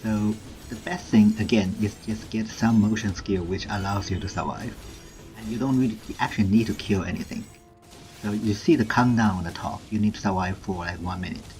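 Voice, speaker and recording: A man's voice: on the low side, a little mellow. A man giving casual, instructional video game commentary, explaining tips step by step as a walkthrough creator. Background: game music.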